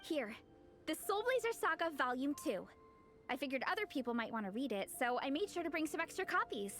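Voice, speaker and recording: A woman's voice: high-pitched, big pitch swings, fairly quick, a bit bright. A woman playing a peppy, encouraging young woman athlete in a video game, upbeat, earnest and enthusiastic. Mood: friendly. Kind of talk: video game character acting. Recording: game music under the voice.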